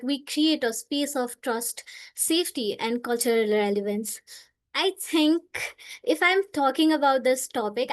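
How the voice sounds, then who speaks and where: A woman in her twenties from Nepal, a medical student speaking earnestly as a panelist in an online discussion, with frequent fillers and phrasing. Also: high-pitched. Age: 20s. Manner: earnest, uses "like" often, non-native phrasing.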